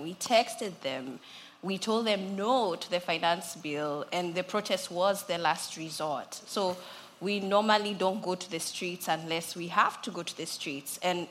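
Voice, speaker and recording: A woman's voice: medium pitch, fairly bright tone. A woman, a youth activist speaking earnestly and candidly in a panel discussion. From Kenya.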